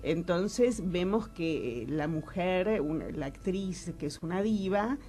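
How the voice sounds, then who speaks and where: A woman with a somewhat low voice and an Argentine Rioplatense accent. She talks casually as a radio interview guest. Her manner is expressive and effusive, with repeated words as she feels for the right phrase. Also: slow.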